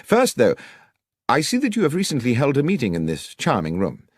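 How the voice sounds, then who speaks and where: A man voicing a shrewd, composed gentleman detective in an audiobook, formal, assured and incisive. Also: medium pitch, huge pitch swings, brisk, slightly rough, dry recording, clean studio sound. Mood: politely probing. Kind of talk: audiobook character dialogue.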